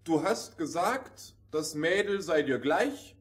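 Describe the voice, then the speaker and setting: A man's voice: a little high, lively intonation, slow pace. An actor playing a shivery frost man who talks in rhyme in a fairy-tale radio play. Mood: plain, singsong.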